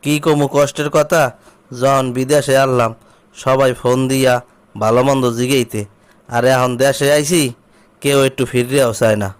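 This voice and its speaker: A man's voice: mid-range voice, fairly even intonation, moderate pace, smooth, natural voice. A man with a Barisal-region Bangladeshi accent playing an aggrieved, jobless husband home from abroad in a comic cartoon. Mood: resigned, plaintive. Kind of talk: comic cartoon dialogue in rural dialect.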